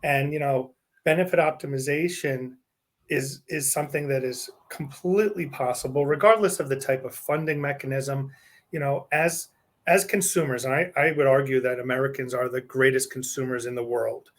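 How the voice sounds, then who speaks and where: A man's voice: medium pitch, steady tone, normal speed. A man hosting an interview podcast, chatty and joking, often repeating words as he starts a sentence. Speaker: podcast host, benefits advisor. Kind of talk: casual interview chat.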